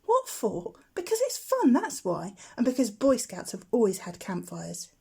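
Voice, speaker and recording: A woman's voice: fairly high pitch, wildly swooping pitch, moderate pace, vocal fry, breathy edge, full character voice. A woman voicing a cheerful, teasing older boy, a matter-of-fact scout in a storybook. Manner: breezy, exclaiming, gently mocking.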